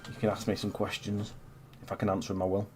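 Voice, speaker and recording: A man's voice: lowish voice, completely flat delivery, fast talker, darkish tone, a little hiss or hum. A man in his thirties with a stuffy, congested voice, casually chatting as he hosts a gameplay livestream, rambling with lots of fillers. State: congested with a cold. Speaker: stream host.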